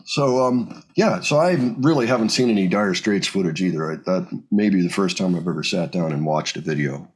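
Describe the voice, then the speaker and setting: A man hosting a music reaction show, chatting casually about rock in a husky voice. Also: low voice, a little fast, few pauses.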